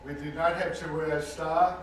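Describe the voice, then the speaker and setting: An older man from Denmark with a Danish accent gives earnest, measured personal testimony as a survivor speaking to an audience, stressing points by repeating words. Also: a little high, little pitch movement, a little hiss or hum. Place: university lecture hall with audience. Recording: echoey hall recording from far off.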